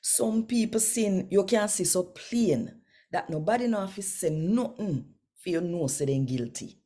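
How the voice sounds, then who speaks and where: A woman's voice: fairly low pitch, expressive, a little slow, warm tone. A woman with a Jamaican accent, hosting and reading scripture aloud in a devotional style. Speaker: host reading daily scripture.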